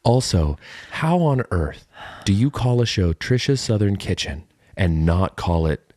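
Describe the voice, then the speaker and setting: Guy w a lowish voice riffing on a podcast, joking around fake serious, super dry sound. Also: sing-song, unhurried, a little mellow, dry recording. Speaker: podcast co-host. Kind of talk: casual comedic banter.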